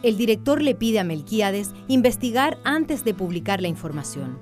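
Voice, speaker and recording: A woman's voice: medium pitch, very animated, moderate pace, music in the background. A woman narrating a radio drama in a scripted storytelling style.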